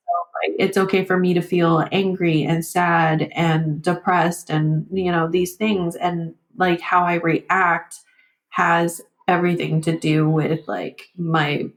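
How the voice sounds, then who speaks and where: A roomy recording carries a woman's slightly low voice in a candid interview. Vietnamese is her first language. She speaks reflectively and haltingly, circling back and leaning on "like" and "you know" as she works out her thoughts. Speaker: podcast guest.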